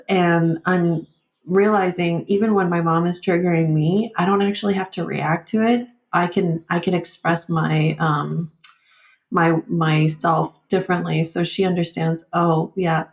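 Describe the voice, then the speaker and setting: A roomy recording carries a woman's slightly low voice in a candid interview. Vietnamese is her first language. She speaks reflectively and haltingly, circling back and leaning on "like" and "you know" as she works out her thoughts. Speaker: podcast guest.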